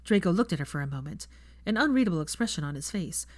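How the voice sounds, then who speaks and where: Low-voiced woman narrating a story. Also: expressive, fast, a little mellow, no room sound. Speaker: fan fiction narrator.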